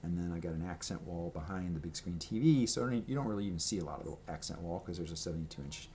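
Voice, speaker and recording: A man with a lowish voice chats to a camera in his home, showing off items he owns. He wanders from point to point, restarts his sentences and fills the gaps with ums and you-knows. Faint room ambience sits under his voice. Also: animated, fast, dark tone, a little hiss or hum. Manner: chatty, meandering, many fillers and restarts. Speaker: vlogger showing his merch. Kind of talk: rambling casual show-and-tell. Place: home room with gray walls and a red accent wall. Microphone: camera microphone, speaking to camera at a table.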